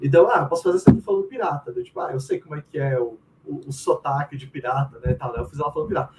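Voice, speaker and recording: A man's voice: medium pitch, wildly swooping pitch, very fast. A man with a Brazilian accent chatting casually and slangily as a guest, telling anecdotes and quoting his own thoughts. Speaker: game writer, interviewee. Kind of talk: casual chat, animated anecdotes. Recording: distant mic with faint room noise.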